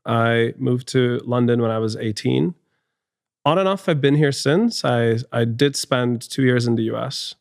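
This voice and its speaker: A man's voice: medium pitch, measured pace, vocal fry, dry recording. A man in his thirties with a Hungarian accent, a guest in a studio podcast interview, speaking thoughtfully with frequent restarts. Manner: thoughtful, many restarts and fillers. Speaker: guest, HR executive.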